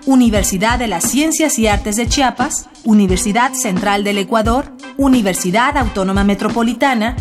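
A woman radio announcer reading credits and introductions in a formal, deliberate way. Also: on the high side, over music. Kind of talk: scripted announcing.

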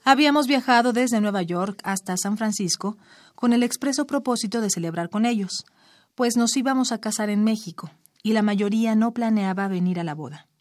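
A woman reading her own short story aloud in a measured, expressive storytelling manner. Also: medium pitch, no room sound, clean studio sound.

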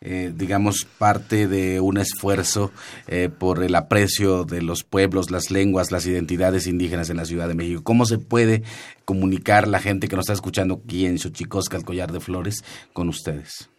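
A man's voice: sits low, fairly even intonation, normal speed. A man hosting a radio interview, asking his guest questions. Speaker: radio host.

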